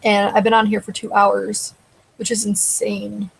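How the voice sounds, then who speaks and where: A woman's voice: mid-range voice, monotone, unhurried, vocal fry, background noise. A woman hosting a casual live stream, chatting loosely and answering viewers, her throat getting dry. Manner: rambling, conversational, answers chat comments. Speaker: live show host.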